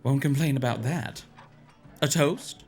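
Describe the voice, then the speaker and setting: A man's voice: medium pitch, measured pace, darkish tone, faint music behind, lightly acted. A man playing an enthusiastic, curious, teasing bard in a fantasy audio drama. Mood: cheerful, curious. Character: excitable, curious male bard, a tavern patron.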